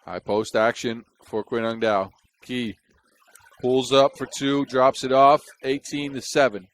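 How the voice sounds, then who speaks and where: A man's voice: mid-range voice, flat delivery, unhurried. A man from the Netherlands with a Dutch accent, giving chatty, wry play-by-play basketball commentary on a close mic. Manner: chatty and wry, with jokes between plays.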